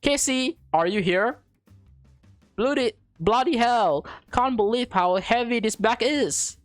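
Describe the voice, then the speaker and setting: A woman plays a mother in her forties in a visual novel. She is bustling and quick to complain, yet fond toward her child, with music underneath. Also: on the high side, animated, dry recording, voice pushed up. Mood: grumbling, exasperated. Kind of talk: visual novel character read-aloud.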